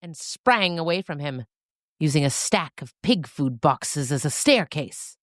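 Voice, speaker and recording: A woman's voice: low-pitched, expressive, measured pace, no room sound, clean studio sound. A woman narrating a comic scripted story as its storyteller.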